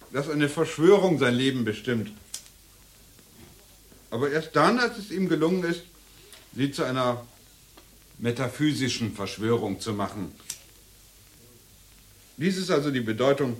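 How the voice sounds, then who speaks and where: Male academic discussion leader, radio play, slow-paced, hesitant, deliberate, drily ironic. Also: fairly high pitch. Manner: hesitant, measured, with thoughtful pauses. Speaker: seminar leader.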